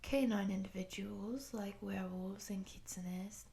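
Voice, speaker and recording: A woman playing a polite, earnest program interviewer in a scripted roleplay, speaking softly and breathily in a measured, formal, official manner. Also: medium pitch, fairly even intonation, gravelly. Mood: polite, explanatory. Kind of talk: soft-spoken scripted roleplay audio.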